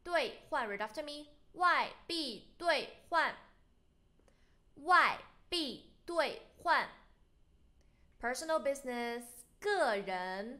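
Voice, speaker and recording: A young woman teacher with a Chinese accent and a bright, fairly high voice. She gives a vocabulary lesson in English and her native Mandarin, very slowly, enunciating each model word with care for learners. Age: young adult. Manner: didactic, carefully enunciated model words. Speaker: language teacher.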